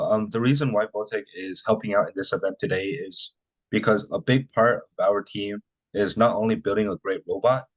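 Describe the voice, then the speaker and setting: A man's voice: low voice, poor audio. A young man from a student robotics team presenting casually and hesitantly, with frequent "um".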